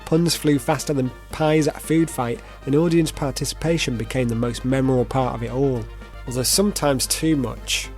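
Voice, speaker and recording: A man's voice: medium pitch, moderate pace, music in the background. A man narrating a video essay in a playful, theatrical manner, with informal asides. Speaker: presenter.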